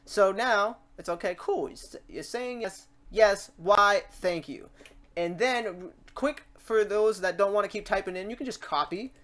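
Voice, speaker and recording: A woman hosting a casual software tutorial, talking informally and reassuringly to the viewer in an animated way. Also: mid-range voice, moderate pace. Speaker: tutorial host.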